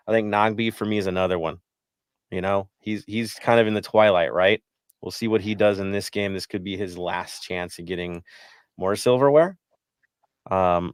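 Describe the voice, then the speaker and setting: A man with a very deep voice explains his thinking at a measured pace on a podcast panel, often tagging a "right?" onto his points. The recording is dry and close. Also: dry recording. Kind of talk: casual podcast discussion.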